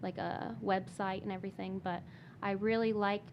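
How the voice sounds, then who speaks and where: Soft-spoken young mom, hesitant. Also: female voice, medium pitch, level tone, normal speed, muffled recording, background noise. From Michigan. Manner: soft-spoken with many fillers and restarts. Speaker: guest. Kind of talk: casual interview talk.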